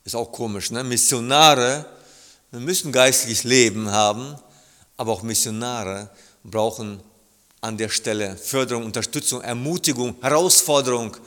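A middle-aged man from Germany preaching a sermon, earnest and halting, with restarts and emphatic appeals. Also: medium pitch, sharp tone, long reverb tail.

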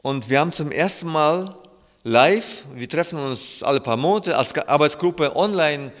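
A middle-aged man from Germany preaching a sermon, earnest and halting, with restarts and emphatic appeals. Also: a little high, expressive, a bit bright, in a large hall.

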